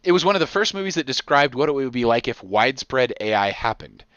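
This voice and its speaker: Male podcast host, bright and forceful, slightly dull dry recording, casual storyteller who voices imagined quotes. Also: medium pitch, sing-song, quick pace, clear, resonant tone, dry recording. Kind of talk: casual rambling podcast chat.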